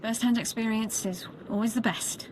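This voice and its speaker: Noisy recording, formal higher-voiced woman acted. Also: fairly even intonation, normal speed, close to an everyday voice. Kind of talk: video game character dialogue.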